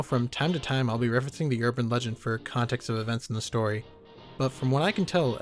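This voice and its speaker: Guy doing a jokey horror video essay, talks quick, sarcastic and sweary, music behind him. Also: medium pitch. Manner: sarcastic, joking, casually profane. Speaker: YouTube horror reviewer. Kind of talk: comedic video essay commentary. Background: background music.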